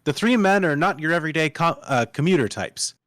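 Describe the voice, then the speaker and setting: A man's voice: on the high side, expressive, fairly quick, fairly bright tone, no echo, studio quality. A man reading screenplay stage directions aloud as the narrator of a table read.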